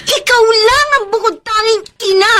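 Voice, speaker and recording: A woman's voice: very high-pitched, normal speed, sharp tone, slight background noise. A woman playing an anguished, indignant protector of a child in a melodramatic Filipino radio drama, with a Filipino accent. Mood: outraged. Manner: emotional, pleading, scolding.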